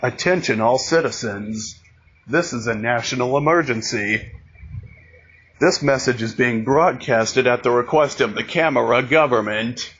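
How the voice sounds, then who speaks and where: A man reading a scripted emergency broadcast announcement, haltingly, repeating and restarting phrases, on a lo-fi recording. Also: mid-range voice, a bit bright. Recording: dull lo-fi audio, noticeable background noise.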